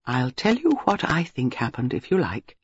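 Prim old spinster, acted by an audiobook reader: shrewd, grave, gentle, lively intonation, slightly husky. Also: medium pitch, muffled recording, voice pushed down. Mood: gentle, probing. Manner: measured, grave, quietly vexed.

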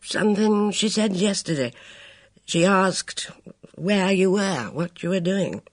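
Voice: medium pitch, dry recording, clean studio sound, pitched above the performer's own voice. A reader voicing a hesitant, kindly older military man who stammers and halts between words. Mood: flustered. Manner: stammering, halting, full of fillers.

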